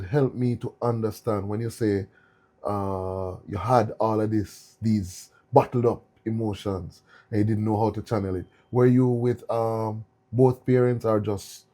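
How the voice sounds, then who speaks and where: A male podcast host with a low, warm, mellow voice. He asks his guest about his upbringing with gentle, probing questions and an unhurried, conversational manner. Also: fairly even intonation. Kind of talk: interviewing a guest.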